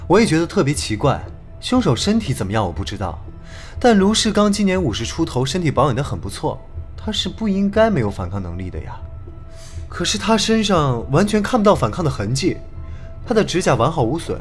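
A man's voice: on the high side, very animated, fairly quick, soft background music. An actor playing a confident young police detective in an audio drama, laying out his reasoning in an articulate, methodical way. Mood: assured, questioning. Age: young adult. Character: confident young male police detective, analytical, returned from abroad. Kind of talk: Chinese audio drama acting.

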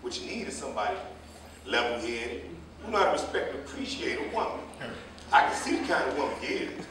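A man's voice: mid-range voice, very animated, fast talker, a crowd in the background, lightly acted. Actor as folksy older man in echoey hall. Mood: preachy, nosy. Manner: folksy, preachy, rambling. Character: meddling, moralizing older male jitney cab driver. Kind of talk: live staged play reading. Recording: echoey, distant stage recording with faint noise.

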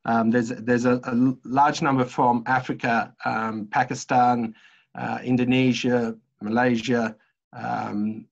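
Older aussie guy on a muffled video call, kinda raspy, lots of ums. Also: mid-range voice, little pitch movement, slow. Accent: Australian. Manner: hesitant, many fillers and restarts. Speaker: university lecturer. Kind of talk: webinar presentation. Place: home office. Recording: dull lo-fi video call audio.

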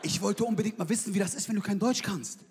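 Brash comic on a hall stage. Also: male voice, high voice, quick pace, darkish tone, a little hiss or hum. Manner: brash, slangy, punchy delivery. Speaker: stand-up comedian. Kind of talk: stand-up comedy set. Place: large theater stage with live audience. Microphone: handheld microphone. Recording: live hall with crowd reactions.

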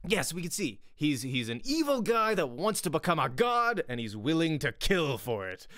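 A man's voice: on the high side, sing-song, moderate pace, a bit bright, no echo. A man in his thirties giving a casual, conversational livestream lesson as an acting teacher, close on a studio microphone in a small room. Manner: conversational, checks in often with "right?". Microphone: close studio microphone with pop filter, headphones.

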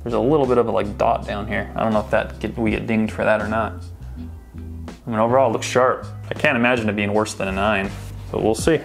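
A man's voice: medium pitch, vocal fry. A man hosting a sports card pack opening, chatting casually and thinking aloud as he sorts through the cards. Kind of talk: casual unboxing commentary.

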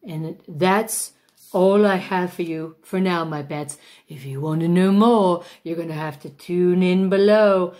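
A woman's voice: lowish voice, unhurried, darkish tone, lightly acted. A woman playing a vain old stage diva. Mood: grandiose. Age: older. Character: pompous aging female stage diva, vain. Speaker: acting teacher in a mock masterclass. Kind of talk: comic character monologue.

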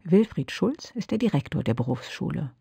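A man narrating a radio feature in a calm, measured, scripted way. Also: fairly high pitch, creaky, husky, no room sound.

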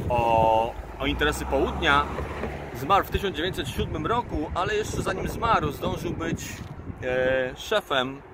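A man in his forties, a tour guide, explaining history informally to camera in a halting way, with pauses mid-phrase. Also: on the high side. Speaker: tour guide and travel vlogger. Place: outdoors by a road bridge. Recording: loud outdoor traffic noise. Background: passing cars and vehicles.

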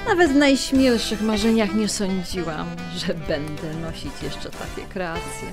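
An actress playing a happy, loving middle-aged bride in an audio drama, speaking in a heartfelt manner. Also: female voice, mid-range voice, over music, natural voice. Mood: delighted, confiding. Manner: unhurried, heartfelt.

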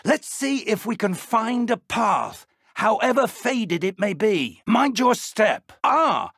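An actor playing an elderly British wizard professor in a video game, an earnest, encouraging mentor with measured, formal speech and a gravelly voice. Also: male voice, sits high, big pitch swings, fairly bright tone, no echo, clean studio sound, exaggerated voice. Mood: projected, instructive. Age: older. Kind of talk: video game character dialogue.

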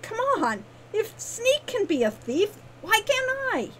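A woman's voice: extremely high pitch, very animated, moderate pace, pitched above the performer's own voice. A woman voicing an eager, stubborn young girl with a playful, pleading manner in a storybook tale. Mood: frustrated, pleading. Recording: clearly noisy background.

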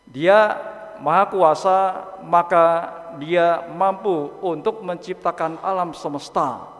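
Slow-spoken priest in an echoing church. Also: male voice, fairly high pitch. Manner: slow, measured delivery with long pauses between phrases. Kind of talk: sermon and gospel reading at Mass. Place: large church. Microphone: stand mic at a lectern. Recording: long church reverb.

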